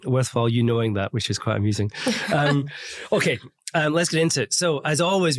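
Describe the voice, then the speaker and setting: A man hosting an interview podcast, talking in a chatty, easygoing way with many ums and restarts as he asks questions. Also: mid-range voice. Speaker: podcast host, recruiter. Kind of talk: conversational interview.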